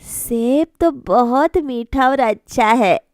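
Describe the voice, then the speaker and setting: A woman's voice: fairly high pitch, slow pace, darkish tone, dry recording, studio quality. A woman voicing an older, plain-spoken but approving mother-in-law in a family cartoon. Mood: content, noncommittal.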